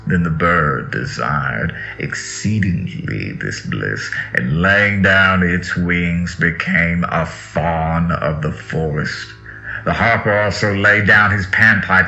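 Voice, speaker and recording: A man with a very low, creaky voice recites scripture in a slow, solemn and theatrical way. His tone is forceful and bright-edged, with drawn-out, incantatory phrases that swell for emphasis. The recording is a little dull, with music behind him. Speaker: reader. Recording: dull lo-fi audio with music underneath.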